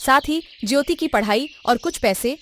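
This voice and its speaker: A woman's voice: sits high, lively intonation, moderate pace, a bit bright, a little hiss or hum. A woman narrator reading a scripted moral story.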